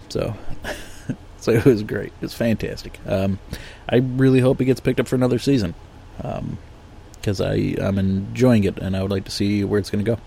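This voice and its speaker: A man's voice: lowish voice, creaky, mellow, slight background noise. A man with a sniffly, nasal voice from allergies, giving a blunt, profane, rambling movie review full of ums and uhs. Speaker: podcast host reviewing movies.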